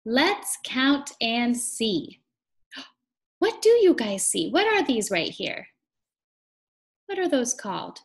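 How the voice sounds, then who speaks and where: A young woman with a fairly high, very lively voice leads a children's read-aloud. She speaks slowly and deliberately, with an encouraging sing-song teacher's delivery aimed at little kids. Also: vocal fry.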